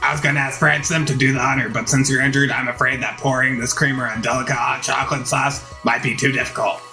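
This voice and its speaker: A man's voice: medium pitch, flat delivery, fast talker, sharp tone, faint music behind. Man putting on smug, sugary female chef rival. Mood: smug, flirty. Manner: sugary, boastful.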